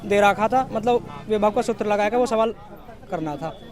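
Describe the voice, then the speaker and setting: Very high-pitched young man, western UP accent. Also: moderate pace, a faint crowd. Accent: western Uttar Pradesh. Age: young adult. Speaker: exam candidate being interviewed. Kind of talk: informal street interview recalling exam questions.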